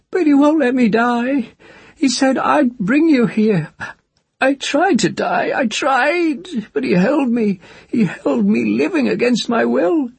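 A man's voice: very high voice, creaky, a little mellow, slightly rough, no room sound, voice pushed up, full character voice. A man voicing a frightened old cursed witch woman who pleads and weeps in a quavering voice.

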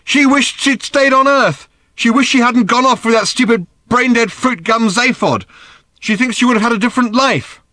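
A man reading a storybook as a furious, wounded teenage girl, shouting accusations in scornful outbursts. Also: very high-pitched, normal speed, dry recording, voice pushed up.

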